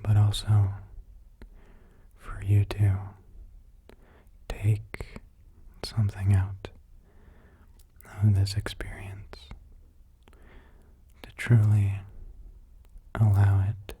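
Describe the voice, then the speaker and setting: A man softly guiding a meditation in a hushed, breathy, mostly whispered ASMR voice, soothing, in short halting phrases. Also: on the low side, completely flat delivery, slight background noise. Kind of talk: soft-spoken ASMR guided meditation.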